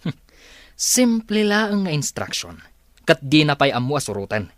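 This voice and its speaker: An actor playing a skeptical, scornful office colleague in a radio drama. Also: male voice, a little high, sing-song, normal speed, no echo, clean studio sound.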